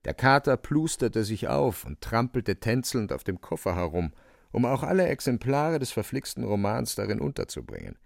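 Deep-ish guy narrating a radio play, creaky, very animated, dry close studio sound. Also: normal speed, no echo. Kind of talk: scripted dramatic radio narration.

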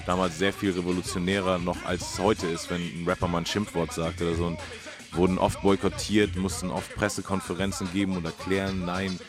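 A man's voice: low-pitched, level tone, a little fast. A man co-hosting a music history talk, explaining casually and colloquially and checking in with tag questions. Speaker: co-host of a hip-hop history radio feature.

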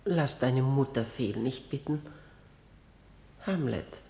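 An actress playing a gentle, pleading middle-aged queen and mother in a classical radio drama, speaking in soft, solemn verse. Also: very deep voice, expressive, slow, creaky, heavily acted. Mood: gently pleading.

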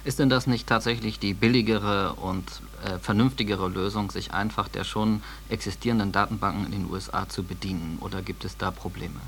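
A man's voice: fairly low pitch, steady tone, a little fast, hardly any pauses, fairly bright tone. A man working as a radio interviewer, putting probing, challenging questions in a journalistic style. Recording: noisy digitized 1980s cassette.

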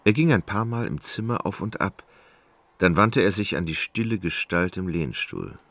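A man with a very deep, creaky voice giving literary narration in a radio play. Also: sing-song, normal speed, fairly bright tone.

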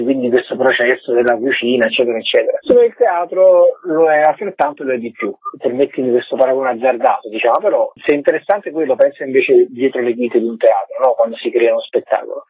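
A man being interviewed on the radio, explaining things conversationally and discursively, with a husky voice. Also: medium pitch. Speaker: interviewed film director. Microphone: telephone. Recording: tinny, narrow phone line with echo.

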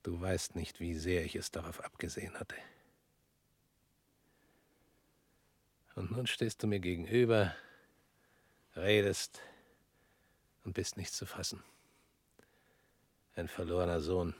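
Brooding ideologue, hushed and then declaiming, radio drama, close dry mic. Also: male voice, deep voice, measured pace, pauses often, breathy edge, no echo. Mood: cold confession. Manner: intense, shifting between hushed whispers and raised declamation. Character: former interrogator turned self-declared criminal, man, intense.

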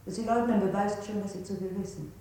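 An actress playing a coldly polite, accusing interrogator in a 1960s radio play. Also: female voice, fairly even intonation, fast, warm tone, natural voice. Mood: sharp, accusing. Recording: very echoey, distant, faint rumbling noise.

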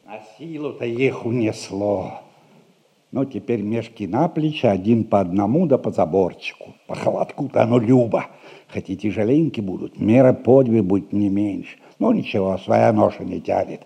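A creaky, grumbling peasant gardener. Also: male voice, on the low side, lively intonation, moderate pace, warm tone, full character voice. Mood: sly muttering. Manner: folksy, grumbling. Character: grumbling, sly peasant gardener, thieving apples. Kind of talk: classic stage comedy radio acting.

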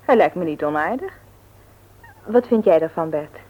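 A woman's voice: lowish voice, lively intonation, moderate pace, phone-quality sound. An actress playing a sociable, eager young woman who chats with visitors in a Dutch radio play. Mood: chatty, eager. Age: young adult. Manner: chatty, eager, friendly.

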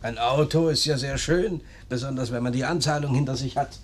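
A man's voice: medium pitch, fairly quick, lightly acted. A man playing a wry, hard-up beggar in a 1950s radio play, plaintive and sardonic. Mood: wry complaint. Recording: noisy old radio recording.

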